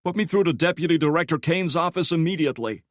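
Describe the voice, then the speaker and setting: A tense agency section leader, as a male narrator voices him, barking clipped orders at a raised, forceful pitch. It is close-miked and dry, with nothing behind the voice. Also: quick pace, dry recording, lightly acted. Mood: commanding. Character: commanding middle-aged male section leader, urgent.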